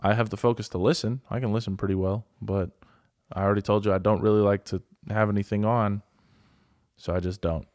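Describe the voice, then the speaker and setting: An American man chatting casually as a podcast co-host, with a deadpan, self-mocking manner. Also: lowish voice, fairly quick, no room sound, clean studio sound. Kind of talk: casual banter.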